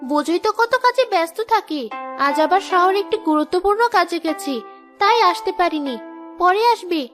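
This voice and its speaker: A woman's voice: extremely high pitch, moderate pace, over music. A woman with a Bangladeshi accent playing a vain, proud young bride in a cartoon, complaining and boasting. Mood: boastful, envious. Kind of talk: cartoon dialogue dub.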